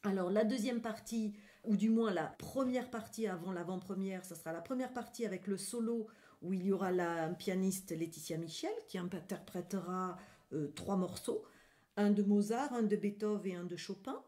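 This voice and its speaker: A woman's voice: mid-range voice, normal speed, dark tone. A woman being interviewed on radio as a guest, explaining things enthusiastically and searching for her words as she goes. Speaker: guest, graphic artist presenting a gallery event.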